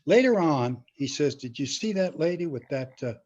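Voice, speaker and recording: A man's voice: on the high side, lively intonation, moderate pace, darkish tone. Older guy telling rambly showbiz stories, casual, does the quotes, muffled lofi sound. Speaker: storyteller, show-business veteran. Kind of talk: casual anecdote. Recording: dull, lo-fi sound.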